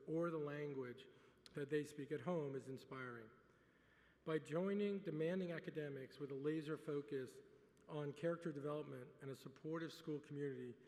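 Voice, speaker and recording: A middle-aged man whose first language is French gives a relaxed acceptance speech at a gala dinner, with dry humor and many filler words. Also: fairly high pitch. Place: large banquet hall. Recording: reverberant hall.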